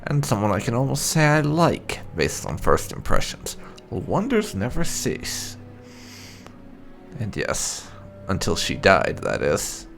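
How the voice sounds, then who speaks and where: A low, gravelly male gamer talking through a roleplay playthrough. He muses slowly and haltingly, with a very animated pitch that swoops around, over faint game music. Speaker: gaming video host.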